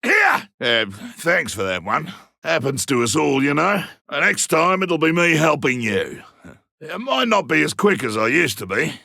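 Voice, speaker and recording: A man playing a boastful, grizzled veteran monster hunter in a video game, with an Australian accent and a rough, gravelly voice, making forceful short calls. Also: fairly high pitch, big pitch swings, unhurried, dry recording, clean studio sound, exaggerated voice. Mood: gruff, focused. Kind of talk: video game combat and tracking barks.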